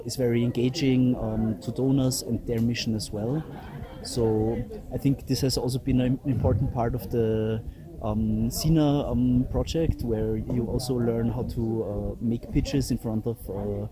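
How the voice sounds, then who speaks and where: A man's voice: medium pitch, rough recording. A man from Austria, an interview guest, answering in a hesitant way with many fillers. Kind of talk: casual interview.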